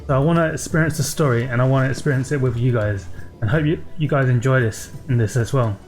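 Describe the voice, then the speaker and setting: Guy doing casual lets play commentary, kinda halting and unscripted, middle pitch, music in the back. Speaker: let's play host.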